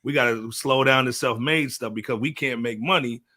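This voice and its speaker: A man's voice: medium pitch, normal speed, pushed and bright. A middle-aged man with an American accent, chatting casually and in slang as a podcast panelist. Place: at home, remote broadcast.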